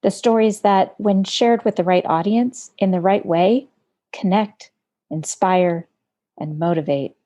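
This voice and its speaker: A woman hosting a podcast, introducing her guest and chatting with her in a relaxed, searching way. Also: medium pitch, unhurried. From United States. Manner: warm, talkative, searching for words with fillers. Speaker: podcast host. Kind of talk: conversational interview. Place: home studio in Montana.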